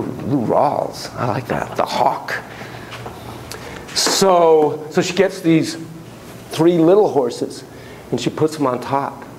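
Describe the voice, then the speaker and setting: An older man giving a chatty, animated university lecture in a classroom, heard from a camera at the back of the room. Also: mid-range voice, gravelly, a little hiss or hum, poor audio. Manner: animated, chatty, quoting clients in a raised voice. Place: classroom with students. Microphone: far camera at the back of the room.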